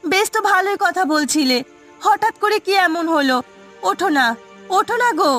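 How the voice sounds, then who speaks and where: A woman's voice: extremely high pitch, expressive, moderate pace, fairly bright tone. A woman voicing an anxious, devoted village wife in a cartoon, fretful and coaxing as she fusses. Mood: alarmed. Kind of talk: cartoon voice acting. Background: background music.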